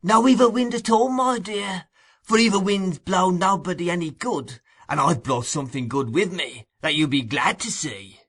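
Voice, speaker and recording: A man playing a sly old schemer with a thin, high, oily voice that wheedles and coaxes. The sound is bright and sharp. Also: moderate pace, husky. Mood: wheedling.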